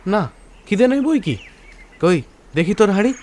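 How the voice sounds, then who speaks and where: A man's voice: sits high, very animated, normal speed, a little mellow. A man playing a poor, devoted, naive fifteen-year-old village boy in an audio drama, with plaintive, childlike rustic speech. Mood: suspicious concern. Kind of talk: audio drama acting of a Bengali story. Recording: music bed behind the voice.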